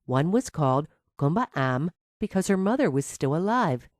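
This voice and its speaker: Low-voiced, expressive woman storyteller. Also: normal speed, dry recording, studio quality. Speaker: storyteller, narrator. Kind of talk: scripted children's fairy tale narration.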